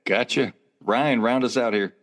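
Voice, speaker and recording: A man's voice: medium pitch, unhurried, fairly bright tone. A man hosting a casual sports podcast over a video call, reading sponsor spots and chatting with upbeat energy. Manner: upbeat, reads sponsor spots then chats. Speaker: podcast host.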